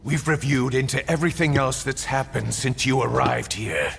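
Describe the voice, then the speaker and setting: An actor playing a stern, vengeful military interrogator in a video game, forceful and theatrical in his accusations. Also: mid-range voice, moderate pace, gravelly, slight background noise. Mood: accusing. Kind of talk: dramatic video game acting. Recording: long hall reverb, music behind.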